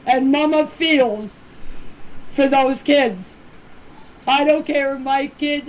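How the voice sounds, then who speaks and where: A middle-aged woman speaks in a fairly high voice at a very slow pace. She is plainspoken and earnest, leaning on her points and repeating them. It sounds like an informal phone voice message with some faint noise behind her. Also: poor audio. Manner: plainspoken, earnest, emphatic, repeats herself for stress. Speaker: community member speaking about a case.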